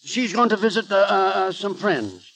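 Casting: muddled elderly academic father, high-pitched and dithering, very animated delivery, 1930s radio comedy. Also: normal speed, vocal fry, heavily acted, low-quality recording. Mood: flustered, vague. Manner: dithering, hesitant, forgetful. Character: befuddled, absent-minded old professor and father. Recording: vintage 1930s radio broadcast.

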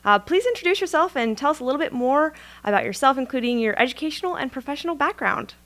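A woman's voice: high-pitched, expressive, fast talker, a bit bright. A woman hosting a podcast, reading a polite, formal welcome and then asking her guest questions. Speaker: podcast host. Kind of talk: scripted intro, then interviewing.

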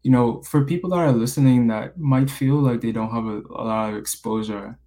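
A college senior in his twenties from the Bahamas, hosting a podcast interview in a relaxed way with lots of fillers. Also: mid-range voice, fairly quick. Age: 20s. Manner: relaxed, many fillers like you know and like.

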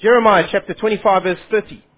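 A man's voice: sits high, steady tone, fast talker. A man preaching a Bible sermon, his Afrikaans, who poses questions to his listeners and then answers them. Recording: phone-like lo-fi sound.

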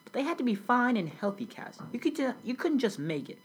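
A woman's voice: a little high, very animated, fairly quick, husky. A teenage girl playing a grown immigrant recalling a childhood in South Sudan, telling her story earnestly in a scripted school interview. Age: young teen. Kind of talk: school project role-play interview.

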